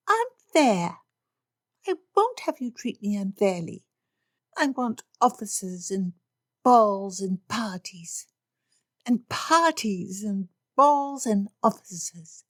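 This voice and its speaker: Brief: a spoiled teen girl, high and wheedling, read by a woman; storybook voice. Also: dry recording, studio quality, exaggerated voice. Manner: high wheedling pleas, drawn-out begging. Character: whiny teenage girl, spoiled and pleading. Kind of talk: audiobook character reading.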